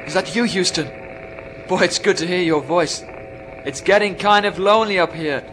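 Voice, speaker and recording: An actor playing a lonely, stranded astronaut fraying on a moon base in a radio drama, emphatic and agitated. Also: male voice, high voice, moderate pace, a bit bright, slight background noise, rough recording. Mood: hopeful, dismayed. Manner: emphatic, self-addressing, agitated.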